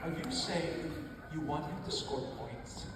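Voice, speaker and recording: Male kids' presenter, playful, unhurried, distant in a hall, loud crowd noise. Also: a little high, sing-song. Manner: playful, halting, checks in with "okay". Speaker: children's presenter. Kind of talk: playful live show for kids. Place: large indoor school assembly hall. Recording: very echoey noisy hall, crowd, far off.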